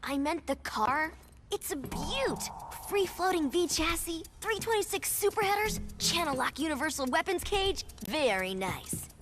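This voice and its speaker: A woman's voice: sits high, sing-song, unhurried. A woman voicing a spirited, determined young mechanic in a video game, with a sassy delivery. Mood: confident, teasing. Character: headstrong, spirited young female mechanic and daughter. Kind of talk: video game cutscene acting. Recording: music under the voice. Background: music.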